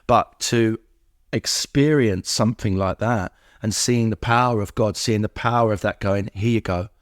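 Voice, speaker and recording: A man's voice: lowish voice, moderate pace, dry recording, clean studio sound. A middle-aged man, speaking as a podcast guest and telling personal recovery stories, acting out what people said. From England.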